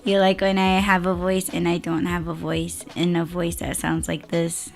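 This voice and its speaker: A woman hosting a podcast in casual chat, her voice hoarse and raspy, laid-back and quick to laugh. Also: lowish voice, completely flat delivery, soft background music. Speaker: podcast host.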